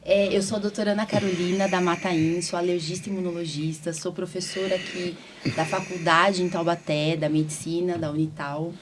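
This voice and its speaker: Chatty woman hosting, some background noise. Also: mid-range voice. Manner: chatty, informal, often seeking agreement. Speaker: podcast host, doctor. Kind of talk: informal podcast hosting. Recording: clearly audible background room noise.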